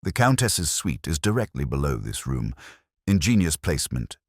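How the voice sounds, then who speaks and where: Analytical male detective for audio story, read by a male narrator: very deep, crisp, commanding, clipped delivery. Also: sing-song, dry recording, studio quality, heavily acted. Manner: clipped, deliberate, incisive.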